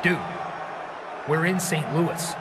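An actor playing a goofy, slangy pro wrestler buddy in a video game. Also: male voice, on the high side, takes it slowly, over a noisy crowd. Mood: goofy, upbeat. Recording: noisy arena ambience behind voice.

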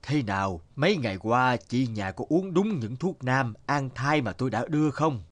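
A man's voice: medium pitch, expressive, normal speed, lightly acted. A man as a wise old village healer. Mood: stern, concerned. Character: elderly village healer, a wise, stern teacher. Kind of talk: Vietnamese cartoon voice acting.

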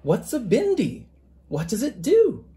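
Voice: mid-range voice, huge pitch swings, a little slow, background noise, pitched above the performer's own voice. A storybook reader voicing a curious, eager young boy who asks wondering, pleading questions.

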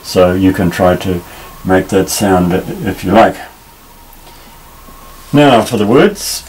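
A man teaching a Bible Hebrew lesson at a table, explaining patiently with many pauses and restarts. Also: low voice, expressive, a little mellow, a little hiss or hum, rough recording. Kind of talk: unscripted tabletop lesson, explaining while pointing. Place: indoors at a table.

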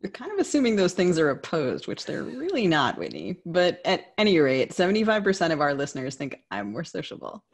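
A woman hosts a podcast in a low-pitched, husky voice. Her intonation is very lively and varied. She mixes conversational remarks with scripted introductions. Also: fairly quick, few pauses. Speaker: podcast co-host.

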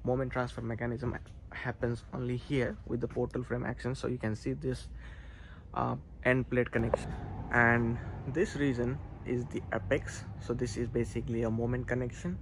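Clear background noise sits behind a man with a mid-pitched voice, recorded on a phone inside an unfinished steel building. He gives an instructional walkthrough like a tutor, in halting phrases. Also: level tone. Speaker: civil engineering tutor. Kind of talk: hands-on explanatory walkthrough. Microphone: handheld phone camera.

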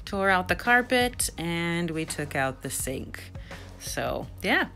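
A young woman narrating her renovation vlog in a casual, bubbly and excited way. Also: lowish voice, slow, soft background music. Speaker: vlogger.

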